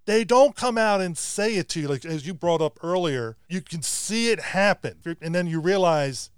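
A man's voice: high voice, lively intonation, normal speed, smooth, dry recording, clean studio sound. A man co-hosting a film podcast, talking in an enthusiastic, playful way. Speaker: podcast co-host. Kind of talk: casual film discussion.

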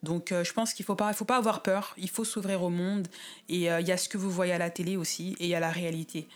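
A woman from the Paris suburbs in her thirties, talking casually as an interview guest with frequent pauses and restarts. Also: fairly low pitch, little pitch movement. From France, Paris suburbs. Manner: thoughtful, many fillers and restarts.

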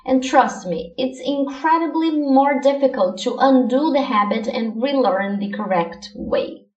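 A Brazilian woman whose first language is Portuguese, hosting her own podcast and giving clear, encouraging language-learning advice from a script. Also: high voice, unhurried, a little mellow, reverberant, distant mic, highs cut off. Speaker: podcast host, language coach. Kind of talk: scripted solo podcast lesson.

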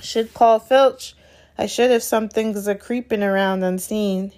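Woman voicing a sly, high-pitched mischievous poltergeist. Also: fairly even intonation, measured pace, a little mellow, lightly acted. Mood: sly, suspicious. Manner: taunting, sing-song, greasily obsequious. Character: mischievous poltergeist, sly wicked male, grovelling when fooled.

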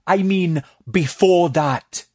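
A man's voice: high-pitched, slow, a little mellow, voice pushed up. A man voicing a timid young male fishlike alien who pleads and frets anxiously. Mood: alarmed.

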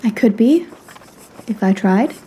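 A woman's voice: mid-range voice, lively intonation, unhurried, warm tone, a little hiss or hum, close to an everyday voice, low-quality recording. A woman playing a proud, frank young lady artist in a period audio drama, with formal, old-fashioned phrasing. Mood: guarded, matter-of-fact.

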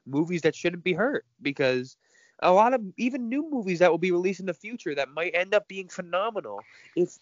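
A man's voice: on the high side, no room sound, highs cut off. Stuffy-nosed man chatting about movies. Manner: chatty, frank, laughs between points. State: stuffy nose. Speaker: podcast co-host. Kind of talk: casual movie news chat.

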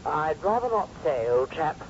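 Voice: mid-range voice, sing-song, moderate pace, natural voice. A performer playing a reserved British dame, a senior scientist, in an old-time radio drama. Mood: guarded. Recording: dull, noisy old radio recording.

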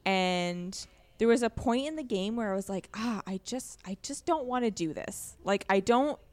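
A woman's voice: medium pitch, moderate pace, darkish tone. Candid woman chatting about video games. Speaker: podcast guest co-host. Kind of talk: casual video game discussion.

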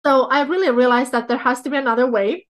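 A woman from Estonia, speaking English with an Estonian accent, talks through her own story on a podcast. Her voice sits high, and she keeps a loose, easygoing storytelling flow as a guest. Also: fast. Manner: storytelling, relaxed with light laughs. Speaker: business coach, guest. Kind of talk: casual podcast interview.

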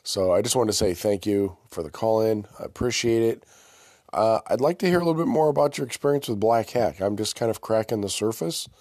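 A man's voice: on the low side, a little fast, darkish tone, dry recording, studio quality. A man hosting his own podcast, talking loosely and rambling a bit, stuffy from a cold. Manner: loose, rambling, self-correcting. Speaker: podcast host. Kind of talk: casual podcast talk.

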